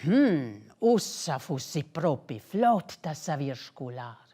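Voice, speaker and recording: A woman playing a helpful, scheming male fox in a children's storybook, musing in a drawn-out way. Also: low voice, sing-song, mellow, voice pushed down, exaggerated voice.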